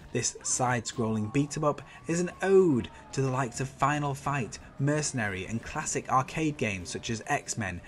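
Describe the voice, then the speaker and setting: A man's voice: medium pitch, a little hiss or hum. A man with a stuffy nose from a cold, reading an enthusiastic, upbeat scripted game roundup as a channel host. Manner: enthusiastic, upbeat review delivery.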